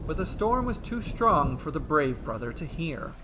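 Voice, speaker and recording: A man narrating a scripted myth as a storyteller, with measured, theatrical and deliberate phrasing. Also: on the high side, very animated, background noise.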